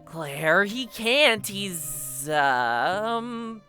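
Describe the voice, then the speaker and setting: A woman's voice: fairly low pitch, expressive, very slow, sharp tone, faint music behind, full character voice. A woman acting a troubled, evasive young character in a game roleplay drama, hesitant and defensive in delivery. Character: guarded, troubled, evasive youth keeping secrets.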